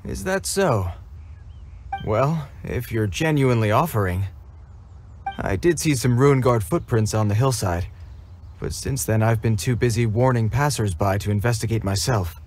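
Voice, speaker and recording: A man's voice: medium pitch, expressive, normal speed, a little mellow, close to an everyday voice. A man voicing a formal, dutiful guard soldier in a game cutscene, who gets flustered around children. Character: earnest, formal young male guard soldier. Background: game music.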